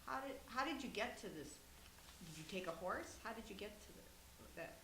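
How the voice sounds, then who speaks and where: A woman's voice: medium pitch, lively intonation, moderate pace, pushed and bright, reverberant, slight background noise. A woman interviewing an older relative, prompting her with questions and keeping track of the time. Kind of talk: family interview.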